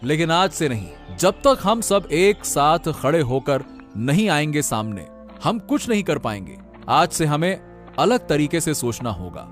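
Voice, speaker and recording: A man plays a determined village leader in a cartoon story, speaking high and with a very lively, swinging pitch. His delivery is earnest and rousing, and faint music plays beneath. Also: unhurried.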